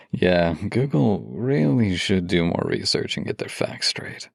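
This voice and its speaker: Low, gravelly man with a husky edge. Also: expressive, slow, no room sound, studio quality. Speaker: voice actor hosting an audio series. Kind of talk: scripted, intimate introduction to listeners.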